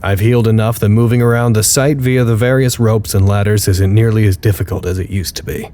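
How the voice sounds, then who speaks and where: A man's voice: lowish voice, steady tone, fairly quick, mellow, lightly acted. A man playing a weary, dutiful researcher who records formal, articulate status logs with dry wit in an audio drama. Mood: weary, grave. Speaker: narrator. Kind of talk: audio drama log narration.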